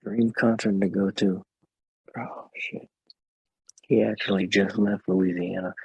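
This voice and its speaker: A man with a naturally deep voice that sounds a bit muffled and slurred, chatting casually as an interview guest. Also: flat delivery, a little slow, creaky, breathy edge, dry recording. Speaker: rapper guest.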